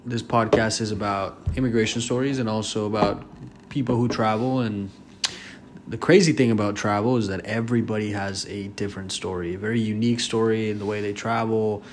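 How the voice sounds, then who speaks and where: A male podcast host with a fairly low voice keeps the talk moving with eager questions and laughs easily. A faint noise sits behind him. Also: steady tone. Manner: curious, asks many questions, quick to laugh. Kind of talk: casual interview.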